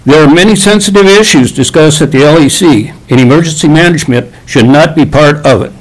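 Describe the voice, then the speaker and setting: A man delivering a prepared public comment in a measured, formal manner. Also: on the high side, poor audio. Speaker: public commenter at a county meeting.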